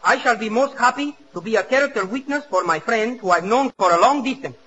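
A courtly Spanish-accented gentleman in a 1940s radio comedy. He talks floridly and fast in a high, bright voice over a muffled broadcast. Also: a little hiss or hum.

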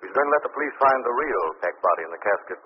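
An actor playing a calm, assured gentleman sleuth in 1940s radio drama style. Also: medium pitch, rapid-fire, lightly acted. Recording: noisy muffled old radio recording.

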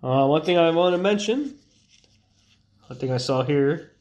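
A man's voice: fairly high pitch, animated, a little slow, darkish tone. A congested young man chatting loosely as a streamer, rambling with many fillers and restarts. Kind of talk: casual live-stream chat.

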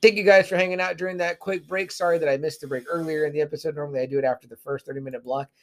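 A man's voice: fairly high pitch, fairly even intonation, racing. A man in his thirties hosting a casual podcast, rambling and often adding "you know what I mean.". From South Texas. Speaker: podcast host. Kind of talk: casual chat.

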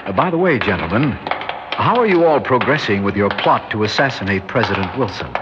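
Courteous, humoring doctor played by a man, hesitant phrasing, animated pitch, muffled noisy vintage radio sound. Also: brisk, natural voice. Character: polite, humoring, quietly probing asylum doctor. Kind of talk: 1960s radio drama acting.

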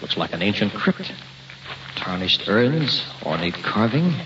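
An actor playing a cautious, uneasy scientist's associate in an old-time radio drama, speaking in wary, clipped lines. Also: male voice, on the low side, very animated, measured pace. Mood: tense. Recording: muffled, noisy vintage radio recording.

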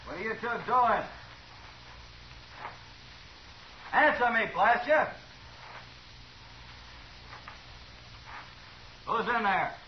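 An American actor playing an impatient, menacing outlaw boss in a 1950s radio Western, barking and suspicious. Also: male voice, sits high, animated, moderate pace, roomy sound. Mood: angry, shouting. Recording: distant, noisy, dull 1950s radio recording.

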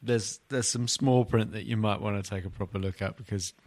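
A man's voice: lowish voice, fairly even intonation, brisk, a little mellow, dry recording. A man hosting a podcast in casual comic banter, teasing his co-hosts with mock bafflement. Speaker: podcast host.

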